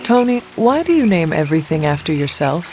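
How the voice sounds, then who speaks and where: An actor playing an earnest, resolute resurrected warrior in a video game, speaking in a formal, measured way. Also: male voice, a little high, sing-song, faint music behind. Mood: inquiring. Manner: formal, measured, avoids contractions. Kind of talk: video game character dialogue. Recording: thin, phone-like narrow audio.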